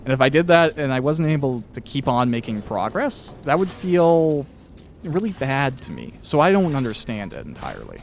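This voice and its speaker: A man speaks in a casual interview style at an average pitch. He rambles a little, candid and reflective about himself, and faint noise sits behind his voice. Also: very animated, normal speed, vocal fry. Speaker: author and interviewer.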